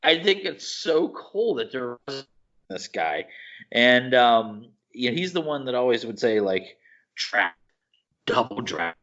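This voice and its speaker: A man co-hosting a podcast in casual chat, excitable and rambling, often restarting his sentences. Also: medium pitch, slow pace. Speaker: podcast co-host. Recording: dull lo-fi podcast audio.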